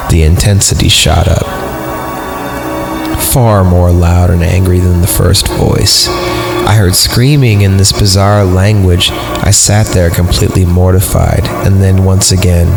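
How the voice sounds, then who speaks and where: A man's voice: sits low, vocal fry. A man hosting a podcast, reading a horror story in a mock-dramatic, irreverent way with comic asides. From Mexican background. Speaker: podcast host. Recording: loud music behind the voice. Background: prominent music bed.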